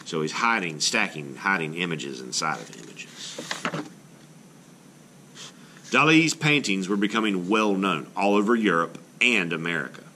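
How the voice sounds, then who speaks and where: A man reading a children's book aloud as an art teacher, in an expressive, explanatory way meant for children. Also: sits low, unhurried, pauses often, a little hiss or hum.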